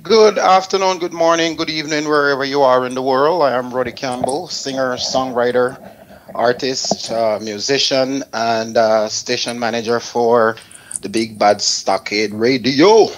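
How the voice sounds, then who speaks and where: A man's voice: mid-range voice, fairly even intonation, unhurried. A man introducing himself on a radio show with a formal, announcer-like manner, over an online call. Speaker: singer and radio station manager. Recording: slightly noisy online call with clicks.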